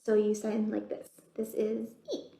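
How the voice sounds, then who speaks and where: A woman's voice: mid-range voice, completely flat delivery, a little slow, creaky, warm tone. A woman hosting a children's storytime, explaining things simply and putting questions to young listeners. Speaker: children's librarian, storytime host. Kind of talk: children's storytime read-aloud and sign teaching. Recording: roomy, echoey indoor sound.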